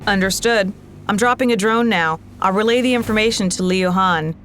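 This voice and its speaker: A woman's voice: mid-range voice, moderate pace, fairly bright tone, soft background music, close to an everyday voice. A woman playing a helpful, businesslike crew officer in a sci-fi game. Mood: efficient.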